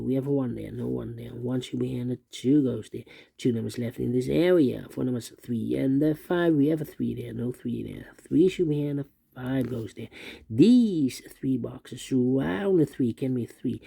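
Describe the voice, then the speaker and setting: A man's voice: mid-range voice, vocal fry, mellow, husky, dry recording. A man giving an unscripted puzzle tutorial, thinking aloud in methodical, repetitive sing-song phrases. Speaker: puzzle instructor.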